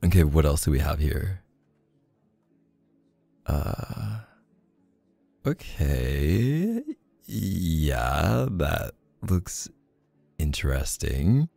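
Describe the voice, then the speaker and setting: Male, very low and creaky, for an affectionate, bashful boyfriend in an intimate audio roleplay; hesitant, casual delivery. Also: big pitch swings, drawn-out words, long pauses, dark tone, no echo. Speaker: ASMR boyfriend roleplay performer. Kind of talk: intimate casual one-sided roleplay acting.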